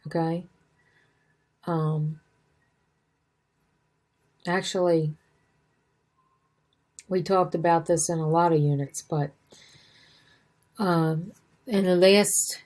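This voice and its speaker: A woman's voice: lowish voice, a little mellow. A woman teaching real estate exam material in a casual, repetitive way, saying she is losing her voice. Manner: conversational, repeats points, self-corrects. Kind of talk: casual study-guide lesson.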